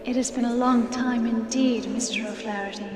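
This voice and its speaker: A woman voicing the ghost of a murdered woman, speaking solemnly and gently in a game cutscene. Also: fairly high pitch, steady tone, moderate pace, noisy background, close to an everyday voice. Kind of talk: 1990s game cutscene acting. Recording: distant echoey hall with music.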